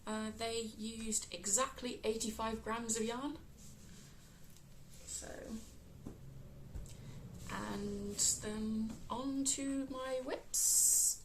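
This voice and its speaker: A woman with a West Midlands accent, hosting a casual crafting podcast, croaky from a cold and filling pauses with ums. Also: a little high, fairly even intonation, a little hiss or hum, poor audio. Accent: West Midlands English. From England. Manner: chatty, many ums, unhurried.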